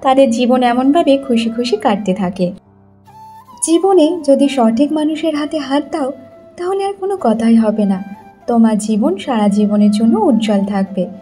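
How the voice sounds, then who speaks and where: High, mellow woman narrating a story. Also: lively intonation, normal speed, soft background music. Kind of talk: scripted cartoon moral-story narration.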